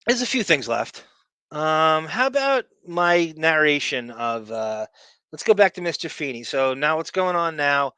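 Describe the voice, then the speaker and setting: Bright, forceful male podcast host. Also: on the high side, sing-song, measured pace, smooth, no echo. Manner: blunt, profane, emphatic. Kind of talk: casual opinionated commentary.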